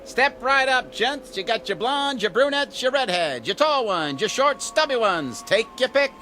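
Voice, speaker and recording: An actor playing a brassy carnival barker in an audio drama, with loud, singsong sales patter. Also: male voice, extremely high pitch, big pitch swings, moderate pace, a little hiss or hum, voice pushed up, heavily acted. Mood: showy. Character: brassy carnival barker hawking beauty contestants.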